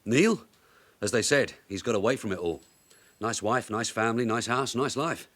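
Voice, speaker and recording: An actor playing a shrewd veteran police detective sergeant in a radio drama, measured and deferential while he probes. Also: lowish voice, fairly bright tone. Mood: wry, reflective. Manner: measured, deferential but probing questioning. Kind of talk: naturalistic radio drama acting.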